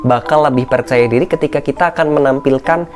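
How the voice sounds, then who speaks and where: A man with a thin, shrill voice, giving an informal, encouraging educational talk as a content creator, slipping in English phrases. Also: fast, over music. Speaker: content creator and public speaking coach. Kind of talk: casual motivational educational vlog talk.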